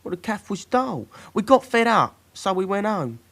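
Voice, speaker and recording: A young British petty crook in a radio drama. The voice is high and swoops widely, sullen and defensive under questioning. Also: male voice, big pitch swings, normal speed, fairly bright tone, exaggerated voice.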